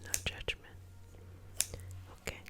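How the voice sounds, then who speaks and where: A woman whispering a guided ASMR healing meditation in a breathy, soothing, repetitive way. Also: slow. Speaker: ASMR Reiki healer and host.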